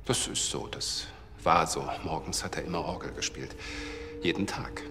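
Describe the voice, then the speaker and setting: Deep-voiced, troubled church cantor. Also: male voice, animated, moderate pace, bright, forceful tone, heavily acted. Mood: subdued, troubled. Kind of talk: TV crime drama acting. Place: large echoing church. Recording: reverberant church, faint noise.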